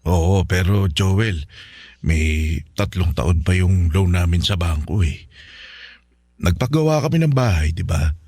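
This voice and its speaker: A man's voice: deep voice, expressive, a little slow, dark tone, dry recording, clean studio sound, exaggerated voice. A man playing a dutiful, stubborn middle-aged father in a radio drama, with a Filipino accent. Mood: worried, reluctant.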